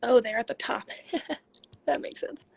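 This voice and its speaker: A young woman in her twenties talks into her phone from a room at home, reading out questions from viewers and answering them one by one. Her voice sits a little on the high side, and the talk is loose and chatty, full of ums. Now and then she drops into a quiet murmur as she reads the next comment. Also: a little slow, vocal fry, noisy background. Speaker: athlete hosting a live Q&A. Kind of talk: casual live-stream chat answering viewer questions.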